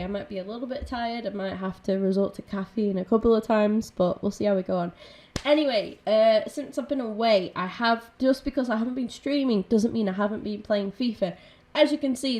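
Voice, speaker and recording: A woman streamer talks casually to her viewers, her naturally raspy voice sounding croaky and nasal from illness. Her tone is mellow and dark, and she rambles with frequent ums and false starts. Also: mid-range voice. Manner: chatty, rambling, with many ums and restarts. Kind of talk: casual gaming stream chat.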